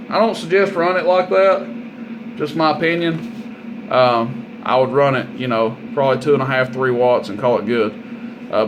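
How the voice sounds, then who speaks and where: A man's voice: medium pitch, lo-fi sound, noisy background. A man giving a casual, hands-on radio equipment demonstration in a plain-spoken, folksy, informal way. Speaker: product demonstrator and seller.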